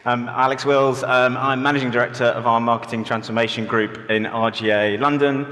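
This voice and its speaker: A man speaking as a conference panelist, relaxed and rambling, full of ums and sort-ofs as he tells his agency's history. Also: mid-range voice, a little fast. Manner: relaxed, rambling with many fillers. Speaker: panelist, agency managing director. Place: conference hall stage. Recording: hall reverb.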